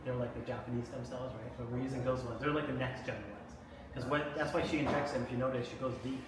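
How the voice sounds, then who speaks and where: A man's voice: mid-range voice, fairly even intonation. A man who is a doctor, explaining treatments in casual chat, tagging his points with "right?". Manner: fast, explains with repeated "right?" tags. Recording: echoey room, far from mic, noisy.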